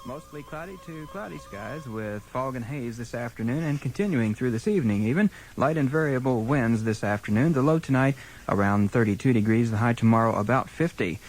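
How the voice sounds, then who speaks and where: A man's voice: medium pitch, expressive, brisk, low-quality recording. An American man reading scripted weather and community announcements as a radio announcer. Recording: old radio aircheck with music. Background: music.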